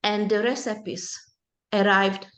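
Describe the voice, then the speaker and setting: Slow-spoken older woman, Hungarian native. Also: medium pitch, fairly even intonation, fairly bright tone. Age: 70s. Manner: slow, deliberate storytelling. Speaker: presenter at a support group. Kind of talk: personal testimony talk.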